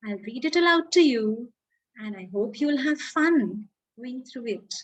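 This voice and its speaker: A woman with an Indian accent who speaks deliberately, as a children's storyteller addressing young listeners expressively. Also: fairly high pitch, animated, lo-fi sound. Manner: slow, deliberate, child-directed delivery with drawn-out words. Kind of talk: expressive read-aloud for children.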